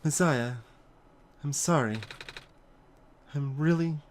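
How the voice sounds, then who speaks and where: A woman playing a remorseful, world-weary adult in a video game, speaking in a solemn, halting, earnest way. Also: deep voice, a little mellow, a little hiss or hum. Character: remorseful, world-weary adult addressing a lost child saviour. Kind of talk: voiced video game dialogue reading.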